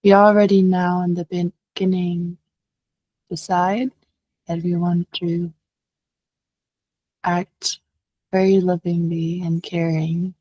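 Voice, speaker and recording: A woman leading a guided meditation as a teacher, speaking gently and unhurriedly with long pauses. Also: on the low side, flat delivery, breathy edge, no echo, lo-fi sound.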